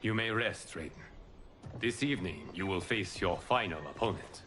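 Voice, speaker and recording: Man acting a solemn, deep-voiced lord mentor. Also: background noise, natural voice. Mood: solemn, authoritative. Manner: formal, measured, commanding speech. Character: solemn, noble lord mentor presiding over a martial tournament. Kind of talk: video game cutscene acting. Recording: loud cinematic music behind.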